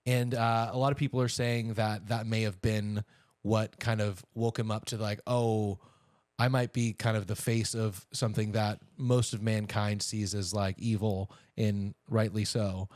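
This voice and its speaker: A man's voice: lowish voice, flat delivery, measured pace, a little mellow, dry recording. Dry-sounding man in casual podcast banter. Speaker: podcast co-host. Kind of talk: casual conversational news banter.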